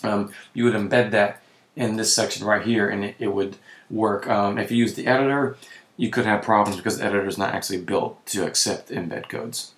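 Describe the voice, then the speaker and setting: A man with a voice that is giving out, who clears his throat often and keeps correcting himself while walking through software on screen. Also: lowish voice, fairly even intonation, a little fast, echoey room. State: losing his voice. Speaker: web tutorial presenter. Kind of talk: screen-recorded software walkthrough.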